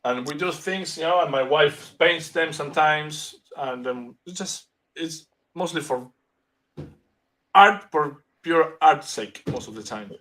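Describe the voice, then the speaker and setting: A man with an Argentinian accent chatting as a guest artist, halting and restarting as he searches for English words. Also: a little high, sharp tone, low-quality recording. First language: Spanish. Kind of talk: casual chat.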